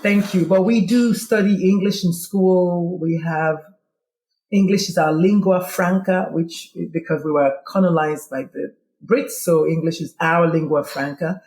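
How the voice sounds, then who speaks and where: Nigerian woman with a mellow voice. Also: fairly low pitch, measured pace, echoey room, low-quality recording. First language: Igbo. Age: middle-aged. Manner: animated, quoting herself in dialogue. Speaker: guest. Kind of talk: casual interview storytelling.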